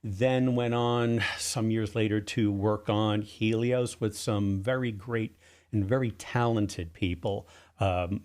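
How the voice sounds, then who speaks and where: A middle-aged or older man giving a conversational radio interview as a guest writer, halting as he recounts details. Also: on the low side, darkish tone. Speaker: guest, audio drama writer and producer. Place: radio studio.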